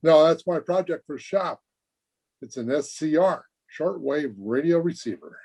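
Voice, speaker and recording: An actor playing an earnest, guileless teenage high school boy, chatting eagerly in an old-time radio comedy. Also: lively intonation, measured pace, a little mellow, breathy edge, full character voice. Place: remote recording over video call.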